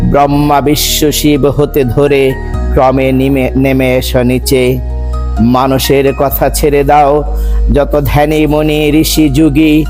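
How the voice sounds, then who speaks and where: A man reciting a poem in a measured, rhythmic, declamatory way. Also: medium pitch. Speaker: poetry reciter. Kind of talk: dramatic recitation of a Bengali poem.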